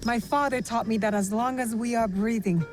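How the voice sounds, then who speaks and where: A young woman warrior of feudal Japan played by an actress in a game cutscene. Her voice sits on the high side, earnest and resolute with grief underneath. Music plays softly behind her. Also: normal speed, lightly acted. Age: young adult. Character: young female shinobi, resolute, grieving, set on vengeance. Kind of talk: dramatic video game cutscene acting.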